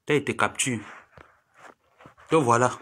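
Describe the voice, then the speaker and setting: Man, 30s, bright edgy voice, preachy live-stream lecturer who pleads and scolds. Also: medium pitch, unhurried, slight background noise. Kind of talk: admonishing social media live monologue.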